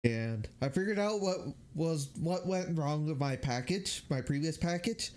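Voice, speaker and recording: A young man giving casual livestream game commentary in a halting, rambling way. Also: on the high side, animated, a little mellow. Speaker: game streamer. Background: game music.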